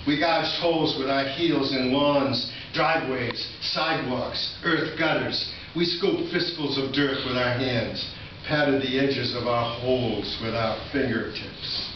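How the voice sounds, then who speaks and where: A man's voice: medium pitch, fairly even intonation, unhurried, hardly any pauses, dull audio. An older man from Massachusetts, giving a live poetry reading with informal, reminiscing asides. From Massachusetts, USA. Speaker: poet. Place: indoor college reading venue, recorded from a distance. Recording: distant, echoey room recording with noticeable noise.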